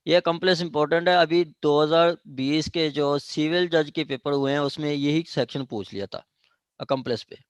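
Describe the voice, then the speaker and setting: A man with a Pakistani accent giving an online law lecture, explaining haltingly with repetition and switching into English legal terms. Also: a little high, steady tone, smooth, dry recording. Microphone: online class call.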